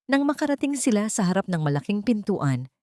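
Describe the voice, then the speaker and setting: A woman's voice: mid-range voice, big pitch swings, fairly quick, dark tone, dry recording, clean studio sound. A woman reading scripted dramatic story narration in a solemn, suspenseful manner. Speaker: narrator of audio drama stories.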